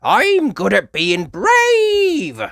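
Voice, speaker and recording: Excitable, high-pitched little animal child. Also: female voice, no echo, clean studio sound, exaggerated voice. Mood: proud. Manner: exclaiming, drawn-out, childlike. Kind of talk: children's storybook character voices.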